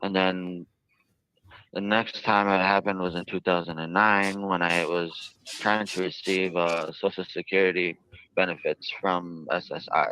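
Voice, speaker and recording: An American man being interviewed, explaining himself in a halting, hesitant and wordy way. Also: sits low, bright, forceful tone, a little hiss or hum. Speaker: jail inmate being interviewed. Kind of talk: recorded interview. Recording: dull, close lo-fi interview audio.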